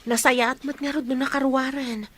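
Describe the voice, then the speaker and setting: A woman's voice: high voice, flat delivery, normal speed. An actress playing a sympathetic, inquisitive village woman in an Ilocano radio drama, with an Ilocano accent.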